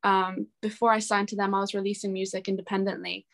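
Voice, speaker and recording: A young woman speaks as an interview guest in a casual, chatty way. She sounds earnest and open, with a medium pitch and an easy conversational flow. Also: level tone, fast, creaky, a bit bright. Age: young adult. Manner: chatty, earnest, laughs easily. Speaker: interview guest, singer-songwriter.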